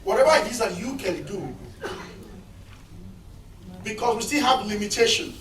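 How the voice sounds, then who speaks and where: A man's voice: high voice, normal speed, fairly bright tone, a little hiss or hum. A man on a film panel, passionately appealing to the audience for support. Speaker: filmmaker on the panel. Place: cinema auditorium. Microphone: point-and-shoot camera across the room. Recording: echoey hall, distant camera mic.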